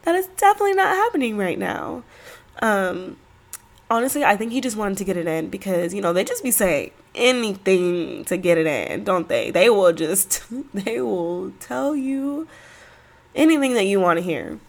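A woman's voice: medium pitch, very animated, normal speed. A woman in her thirties, stuffy from a cold, chatting casually and rambling on her own podcast. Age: 30s. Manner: chatty, rambling, slangy, laughs between thoughts. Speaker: podcast host. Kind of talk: casual solo podcast chat.

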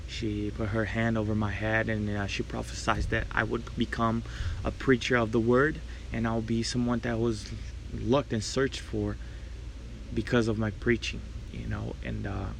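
A man's voice: on the low side, normal speed, vocal fry, a little hiss or hum, rough recording. A young man, hosting his own faith podcast and sharing a personal testimony in an earnest, grateful, reflective way. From Brazil. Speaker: podcast host and preacher.

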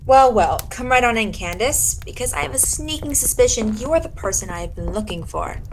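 A woman playing a sharp, accusing detective in a 1940s-style radio drama, commanding and sardonic as she interrogates. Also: on the high side, expressive, a little fast, a little hiss or hum, lightly acted, poor audio. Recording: dramatic music behind the voice.

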